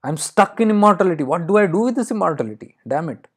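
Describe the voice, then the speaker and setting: A man's voice: on the high side, moderate pace, darkish tone, natural voice. A man voicing an ancient immortal crow in an oral story, lonely and suffering, pleading insistently and repeating himself. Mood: anguished lament. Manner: insistent, lamenting, repeats phrases.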